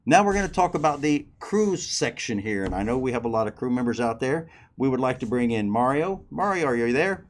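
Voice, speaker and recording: A man's voice: mid-range voice, expressive, fairly quick, few pauses. A man hosting a cruise news show and interviewing a guest, talking in a chatty way straight to his listeners. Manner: chatty, addresses crew members directly. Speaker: host.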